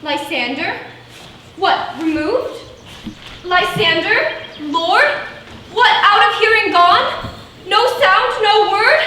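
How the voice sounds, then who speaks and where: A woman's voice: extremely high pitch, fairly bright tone, exaggerated voice. A woman playing an earnest young lovelorn maiden in theatrical Shakespearean verse. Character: anxious young lovelorn maiden lost in a wood. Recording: very echoey, distant mic, faint noise.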